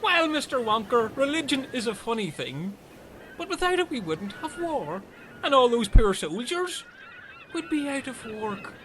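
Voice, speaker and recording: A man's voice: extremely high pitch, big pitch swings, a little slow, a bit bright, a little hiss or hum, exaggerated voice, rough recording. A man playing a dim, chatty, earnest Irish castaway in a comedy radio play, rambling and taking things literally.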